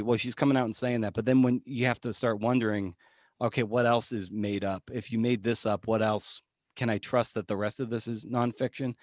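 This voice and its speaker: A man's voice: medium pitch, dry recording, clean studio sound. A man talking casually as an interview guest on a podcast, thinking aloud and questioning himself as he goes. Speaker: podcast guest, journalist and memoir writer. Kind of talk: casual conversational interview answers.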